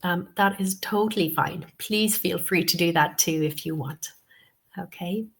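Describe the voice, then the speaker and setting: A woman with a slightly low voice and a sniffly cold leads an online teacher-training webinar. She explains things patiently, keeps checking in with "okay" and comes back to the same points more than once. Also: unhurried. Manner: patient, repeats key points, many "okay"s and "um"s. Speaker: TEFL course tutor. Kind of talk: webinar instruction.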